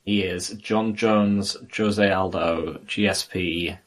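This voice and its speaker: A man co-hosting a podcast who ribs his partner with mock disbelief. His voice is low and a little husky. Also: steady tone, takes it slowly. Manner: needling, mock-incredulous. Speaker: podcast co-host. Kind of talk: casual banter.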